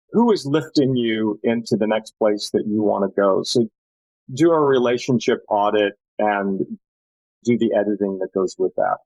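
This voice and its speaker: An older man speaking as a podcast guest, giving conversational advice in a measured, emphatic way with repeated key phrases. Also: medium pitch, a little mellow, dry recording, poor audio.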